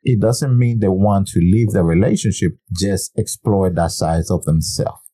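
A man's voice: low-pitched, normal speed, warm tone. A man co-hosting a podcast in casual chat, laughing often and restarting his sentences as he reads items aloud. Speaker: podcast co-host.

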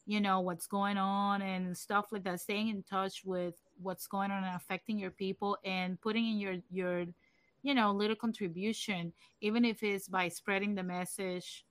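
A woman's voice: mid-range voice, fairly even intonation, normal speed, no echo. Chatty Puerto Rican-born woman host. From Puerto Rico. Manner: chatty, many fillers like "you know". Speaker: livestream host. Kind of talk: casual livestream chat.

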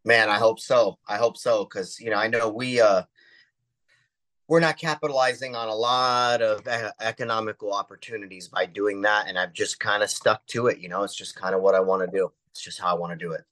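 A man's voice: lowish voice, steady tone, brisk, a bit bright. An American man, a rapper, chatting casually as an interview guest. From United States. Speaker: musician guest, underground rapper.

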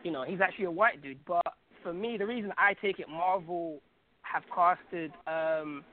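A man with a fairly high voice talks over a thin, phone-like call. He is from Algeria and chats casually but emphatically, full of slang and repeated phrases, as a guest calling in to a show. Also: moderate pace. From Algeria, North Africa. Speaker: guest caller on a radio podcast. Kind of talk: casual opinionated chat. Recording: phone-like, narrow tinny call sound.

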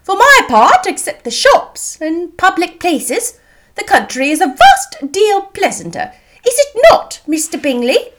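Shrill-high, fussy, gushing mother. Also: very animated, a little slow, a bit bright, heavily acted. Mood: indignant, triumphant. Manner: effusive, exclamatory. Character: fussy, gushing, self-important middle-aged mother.

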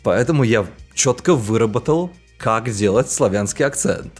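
A man's voice: medium pitch, lively intonation, normal speed, a little mellow, faint music behind. A young adult man hosting a podcast, chatting casually and explaining with animation. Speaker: podcast host. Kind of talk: casual chat.

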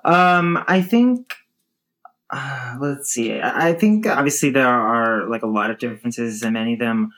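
Low-voiced woman, hesitant and musing. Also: measured pace, fairly bright tone. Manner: hesitant, many fillers and restarts. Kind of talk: casual conversation.

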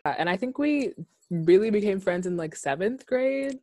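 A woman's voice: medium pitch, animated, moderate pace, warm tone, no echo, clean studio sound. A woman in her twenties from the Bahamas, co-hosting a podcast in a casual, chatty way, laughing easily. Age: 20s. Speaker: podcast co-host. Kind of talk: casual chat. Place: her own room.

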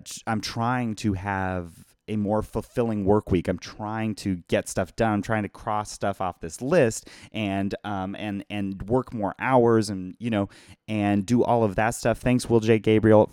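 Man, hoarse and worn out, podcast host, rambling casual chat, lowish voice, dry close sound. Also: steady tone, a little slow, a little mellow, no echo. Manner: rambling, chatty, thanks viewers by name. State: tired. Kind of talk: casual live-stream chat. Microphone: phone.

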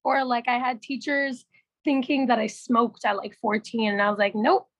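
A young woman from Canada with a naturally raspy voice, a musician guest talking casually and with animation. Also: a little high, normal speed, no room sound, lo-fi sound. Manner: animated storytelling, laughs often, swears. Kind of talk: casual chat.

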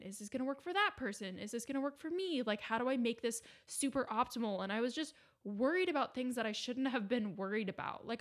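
A woman's voice: a little high, a bit bright. Chatty woman hosting a podcast, with a cold. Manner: chatty, frequent "like" and "right?". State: slightly congested with a cold. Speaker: podcast host. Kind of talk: casual solo podcast ramble.

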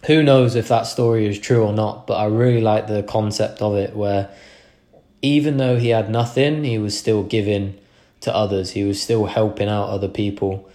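A man's voice: on the low side, steady tone, moderate pace, dark tone. A young man hosting a podcast, talking through a casual motivational monologue in an earnest, rambling way with frequent restarts. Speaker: podcast host.

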